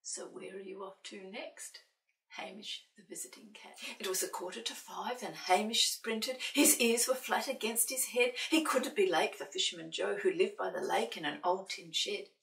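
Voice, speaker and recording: A woman reading a picture book aloud to children as an expressive storyteller. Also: mid-range voice, normal speed, gravelly. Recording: roomy, distant microphone.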